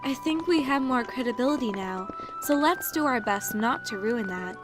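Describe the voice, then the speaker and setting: A woman playing a gentle, sensible young female soldier in an audio drama, soothing and earnest. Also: fairly high pitch, animated, normal speed, music in the background, close to an everyday voice. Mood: gently cautioning.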